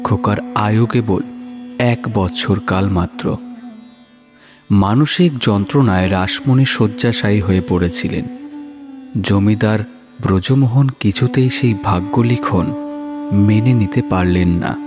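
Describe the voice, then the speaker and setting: A man narrating a scripted horror story in a dramatic, measured, suspenseful manner. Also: fairly low pitch. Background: music.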